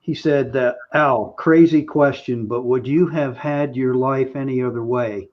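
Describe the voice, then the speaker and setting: A man's voice: mid-range voice, steady tone, unhurried. A man hosting a live-stream interview, asking long rambling questions with restarts. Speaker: host. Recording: dull lo-fi sound.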